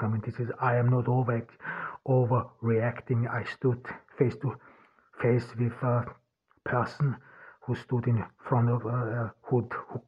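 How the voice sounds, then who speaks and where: A man whose first language is German, hosting a solo podcast and talking in a halting way, with many restarts and repeated words. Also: mid-range voice, husky. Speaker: podcast host. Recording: tinny phone-like sound.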